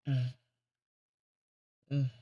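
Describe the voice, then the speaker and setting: Guy doing a flirty ancient vampire for asmr, real slow and teasing, kinda bossy but courtly, super dry close sound. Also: dark tone, no room sound, highs cut off. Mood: hungry, savoring. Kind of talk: intimate ASMR roleplay.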